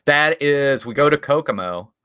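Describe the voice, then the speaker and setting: A man's voice: medium pitch, lively intonation, normal speed, sharp tone, clean tone. A man with a Midwestern American accent, a guest on a live stream, telling rambling stories in casual chat with plenty of restarts. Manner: rambling, chatty storytelling with frequent restarts and chuckles. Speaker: guest YouTube creator.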